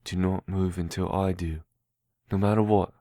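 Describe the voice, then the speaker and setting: A man playing a timid, earnest, slow-minded young runaway who speaks in a simple, halting, pleading way. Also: sits low, level tone, dark tone, close to an everyday voice.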